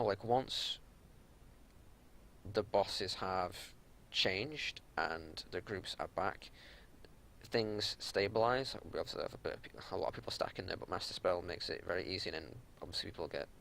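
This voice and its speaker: A man giving unscripted gameplay commentary as a raid leader, explaining tactics in a matter-of-fact way over a home recording with some low rumbling noise. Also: lively intonation, normal speed, creaky, bright, forceful tone.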